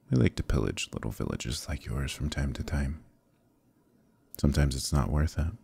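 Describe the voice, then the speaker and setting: A man playing a smugly menacing evil knight in an ASMR roleplay, speaking in a slow, intimate, condescending monologue with softly threatening delivery. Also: dry recording, full character voice. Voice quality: very deep, gravelly, husky.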